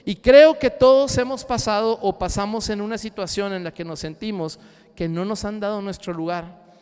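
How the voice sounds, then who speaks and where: Pastor guy, preaching, highish clear voice, big echoey church, gets loud then goes quiet. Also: steady tone, moderate pace. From Mexico. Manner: swings between loud rising cries and hushed low asides. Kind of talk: sermon. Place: church sanctuary.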